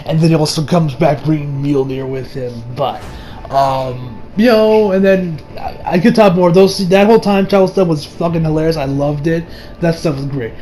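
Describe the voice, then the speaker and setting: A young adult man giving a casual, rambling movie review with excitable delivery and frequent swearing. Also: fairly high pitch, normal speed, soft background music. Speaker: video reviewer. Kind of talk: casual movie review chat over gameplay.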